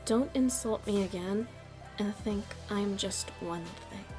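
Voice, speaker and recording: A woman playing a shrewd, proud nightclub headliner in the mafia in an ASMR roleplay, speaking deliberately in a haughty, taunting manner. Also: medium pitch, music in the background, lightly acted. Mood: scornful. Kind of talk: ASMR roleplay audio drama.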